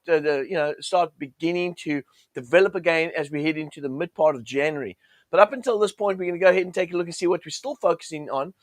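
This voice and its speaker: A man with a cold, his voice a little croaky, hosting a chatty forex chart session with lots of fillers and restarts. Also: sits high, no echo. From United States. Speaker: forex trading show host. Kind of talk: casual chart commentary.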